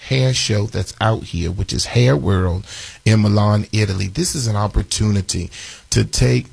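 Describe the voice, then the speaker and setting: A man in his forties with a raspy voice, hosting a live morning radio show and chatting through announcements with lots of fillers and restarts. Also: on the low side, normal speed, darkish tone, no echo. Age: 40s. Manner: chatty, repeats phone numbers, many fillers and restarts.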